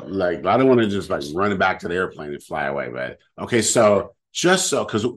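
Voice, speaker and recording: A middle-aged man with a very deep voice, chatting casually as a podcast host at home, rambling with lots of fillers. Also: fairly quick. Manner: rambling, lively, full of fillers. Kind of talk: casual chat. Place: home living room.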